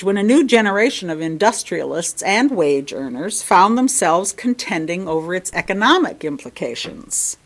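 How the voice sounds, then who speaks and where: A woman reading a written sermon aloud in formal, measured phrases, with deliberate emphasis and pauses. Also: medium pitch. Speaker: lay reader giving a sermon. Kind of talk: sermon read from a written text.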